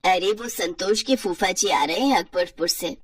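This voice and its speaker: Woman voicing a husky motherly mother-in-law, brisk and commanding. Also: on the low side, no room sound, distant mic, voice pushed down. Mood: warm, brisk. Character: kindly, commanding middle-aged village mother-in-law.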